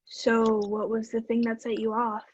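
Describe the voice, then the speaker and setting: A woman's voice: fairly high pitch, completely flat delivery, moderate pace, slight background noise, close to an everyday voice. A young woman in an amateur stage play, acting a blunt, impatient teenage runaway with a dry, unimpressed manner. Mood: unimpressed, curious. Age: young adult. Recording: dull lo-fi sound.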